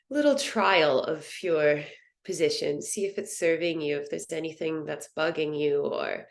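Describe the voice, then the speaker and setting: A woman teaching a guided meditation in a soothing, gentle, unhurried manner. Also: low voice, gravelly. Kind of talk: guided yoga nidra meditation.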